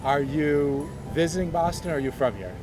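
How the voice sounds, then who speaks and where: A man's voice: a little high, animated, normal speed, over music. A man interviewing strangers outdoors on a campus with a handheld mic, echoing their answers back. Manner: repeats answers back, stumbles on openers. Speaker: street interviewer. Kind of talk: quick casual questioning.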